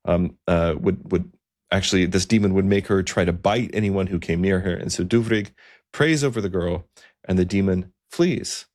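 A man with a low-pitched voice hosts a history podcast. He narrates like an earnest storyteller working loosely from notes, pausing to explain things as he goes. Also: a little mellow. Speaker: podcast host. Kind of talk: semi-scripted historical storytelling.

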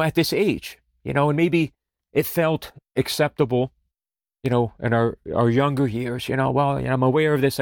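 A man hosting a podcast, talking in a gentle, reflective way with lots of "you know" fillers. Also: mid-range voice, no echo, studio quality. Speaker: podcast host. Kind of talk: reflective spoken talk.

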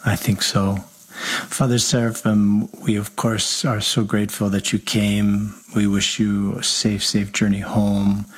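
A man, a parish priest hosting a radio interview, speaking gently and conversationally. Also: lowish voice, husky, low-quality recording.

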